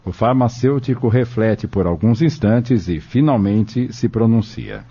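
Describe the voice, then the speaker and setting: A man's voice: lowish voice, measured pace, dark tone, slightly rough. A Brazilian man narrating an audio drama from a script. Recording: dull lo-fi audio with music behind. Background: music.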